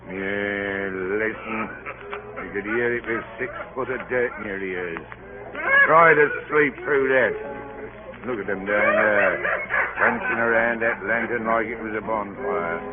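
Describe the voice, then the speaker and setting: An actor playing a sardonic, grumbling ship's officer in a radio drama, with a rough, folksy, sarcastic way of talking. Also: male voice, on the low side, measured pace, loud music behind the voice, close to an everyday voice. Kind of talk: 1940s radio drama acting. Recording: tinny old radio broadcast, clear hiss.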